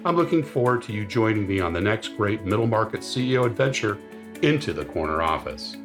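A man hosting a business podcast, reading a deliberate intro and then chatting casually with fillers. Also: on the low side, big pitch swings, over loud music. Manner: slow and deliberate in the intro, chatty with "you know" and "uh" in the interview. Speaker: podcast host.

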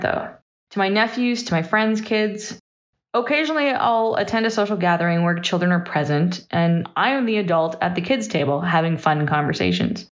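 A woman in her thirties giving a personal, reflective monologue as a podcast host, candid and emphatic, in short punchy sentences. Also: medium pitch. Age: 30s. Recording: dull, slightly lo-fi voice recording.

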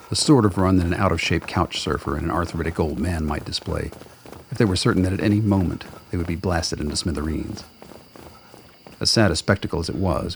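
A man narrating a scripted radio drama in a measured, wry storytelling manner. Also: low-pitched, gravelly, slight background noise.

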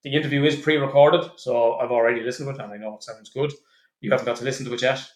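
A man's voice: medium pitch, fairly even intonation, rapid-fire. Man, podcast host, casual teasing banter, mid-range voice, roomy echo. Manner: swearing, laughing, teasing banter.

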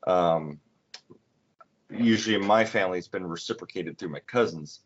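Twenty-something male podcast host, low voice, loose rambling delivery full of "um"s, dull lo-fi recording. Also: normal speed. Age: 20s. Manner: rambling, many fillers and restarts. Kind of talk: casual chat. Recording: dull lo-fi sound.

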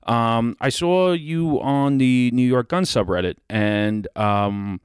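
A man hosting a podcast, introducing his guest in a relaxed way with lots of ums. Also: mid-range voice, slow pace, smooth, no echo, studio quality. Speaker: podcast host. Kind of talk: casual podcast interview.

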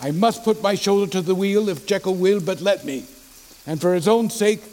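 A man acts a Victorian gentleman lawyer in a literary audio drama. His voice sits high for a man. He speaks formally and earnestly, with the weight of a worried, loyal friend. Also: moderate pace, natural voice. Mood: grave resolve.

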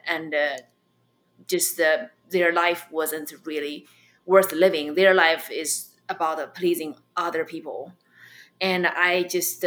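A young adult woman from China with a Chinese accent, a comedian telling her story as an interview guest, halting with many fillers and restarts. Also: lowish voice, a bit bright.

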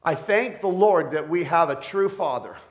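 A middle-aged man preaching a sermon, a pastor with a deep voice who jokes playfully with his congregation. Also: expressive, normal speed.

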